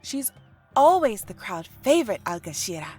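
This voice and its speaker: A woman's voice: medium pitch, sing-song, normal speed, darkish tone, slightly rough, soft background music. A woman voicing a friendly, giggly young dancer with a playful, cooing manner, in an audio storybook. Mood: admiring.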